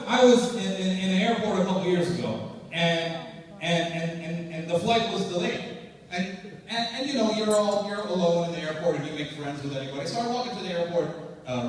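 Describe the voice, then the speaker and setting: A young man with a high voice does an animated stand-up set, often restarting his sentences. He is heard from a distant mic in an echoey conference hall. A noisy crowd sits behind him. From United States. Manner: animated storytelling with frequent restarts. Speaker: stand-up comedian. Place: conference stage before a live audience.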